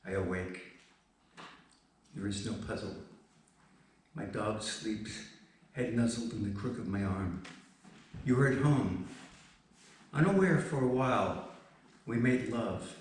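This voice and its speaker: A man reading poetry at a live event, measured, with dramatic pauses. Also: fairly low pitch. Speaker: poet presenting work. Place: indoor event space, recorded from a distance. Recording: very echoey room, distant mic, faint noise.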